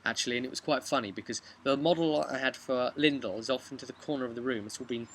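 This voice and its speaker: Bright-voiced young man recapping casually. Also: medium pitch, quick pace, a little hiss or hum. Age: young adult. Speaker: game master recapping a tabletop session. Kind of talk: casual storytelling recap to camera. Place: outdoors on a garden bench by a brick house.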